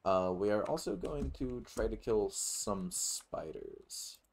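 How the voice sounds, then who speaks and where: A man's voice: lowish voice, animated, slow, gravelly. A man giving casual, rambling let's play commentary with some swearing. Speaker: gaming YouTuber.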